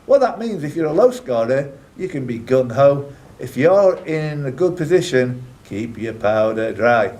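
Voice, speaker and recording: A man's voice: mid-range voice, sing-song, unhurried, a little mellow. A man hosting a live quiz, reading out questions with jokey, teasing asides to the contestants. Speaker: quiz host and question reader.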